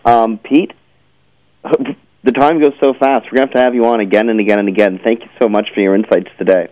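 A man's voice: fairly low pitch, steady tone, brisk, a little mellow, no echo. A man hosting a radio show in a casual, unscripted way. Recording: slightly dull, dry radio audio.

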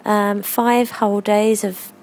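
A woman's voice: mid-range voice, little pitch movement, slow, a little mellow. A woman with a London accent, leaving a casual, rambling message as a caller to a podcast. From London, England. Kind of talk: casual, rambling voicemail chat.

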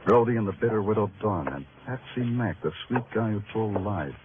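An actor playing a world-weary, hard-boiled New York detective, wry and terse, in a vintage noir radio drama. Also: male voice, on the low side, expressive, a little fast, background noise, close to an everyday voice. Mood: brooding, wry. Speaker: lead character and narrator. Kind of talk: 1940s-50s noir radio drama narration and dialogue. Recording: tinny old radio recording with music.

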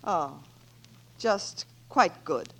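An actress playing a sardonic, quick-witted woman writer in a 1940s American radio comedy, with dry wisecracking delivery. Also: lowish voice, animated, a bit bright, exaggerated voice. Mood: wounded, hopeful. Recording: noisy old 1940s radio recording with clicks.

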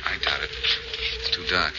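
An actor playing a shrewd, confident sleuth with a clipped, wry, assured manner in a 1940s radio detective drama. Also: male voice, very deep voice, steady tone, normal speed. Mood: hushed, wry. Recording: dull, noisy old radio recording.

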